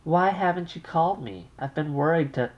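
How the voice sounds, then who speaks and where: A man's voice: medium pitch, fairly even intonation, measured pace, dull audio, pitched above the performer's own voice, lightly acted. A man doing a frantic, nagging mother. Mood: anxious. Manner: worried, insistent, interrupting. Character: anxious, nagging middle-aged mother.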